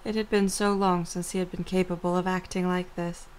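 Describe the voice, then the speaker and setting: Lower-pitched woman narrating a story. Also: flat delivery, a little fast, clean tone, poor audio.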